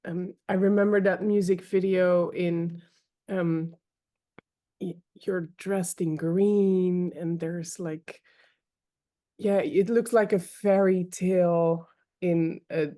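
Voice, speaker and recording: A woman hosting an interview podcast, talking casually and hesitantly, with many pauses and repeated words. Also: fairly low pitch, steady tone, mellow, no room sound, studio quality. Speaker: podcast host.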